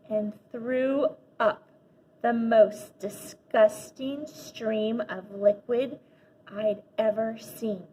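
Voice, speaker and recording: A woman with a fairly high voice reads a children's storybook aloud. Her delivery is animated, with dramatic emphasis on key words. The recording is a little dull and lo-fi, with faint noise behind her. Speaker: teacher reading a children's book aloud.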